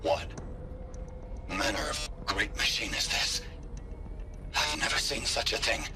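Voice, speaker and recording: An actor playing a zealous, self-righteous sun-priest in a video game, speaking in a grandiose, reverent, declamatory manner. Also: male voice, mid-range voice, expressive, measured pace, background noise, close to an everyday voice. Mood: awed. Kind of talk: video game fantasy acting.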